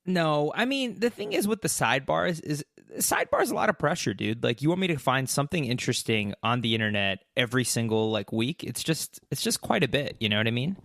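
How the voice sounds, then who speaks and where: A man's voice: medium pitch, very animated, brisk, creaky, few pauses, fairly bright tone, no echo, studio quality. A man co-hosting a podcast in casual chat, rambling with lots of fillers. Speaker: podcast co-host.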